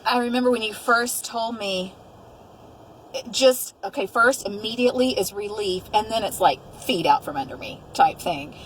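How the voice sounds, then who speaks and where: A woman's voice: on the high side, normal speed, poor audio. Middle-aged woman interviewer, some background noise. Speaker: host, mother interviewing her daughter. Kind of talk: reflective conversational interview.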